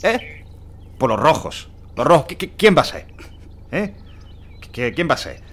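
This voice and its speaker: An actor playing an anxious, placating truck driver from Spain in an audio drama, hesitant and repetitive, with a Spanish accent. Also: male voice, on the high side, very animated, unhurried, exaggerated voice. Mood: nervous, stammering.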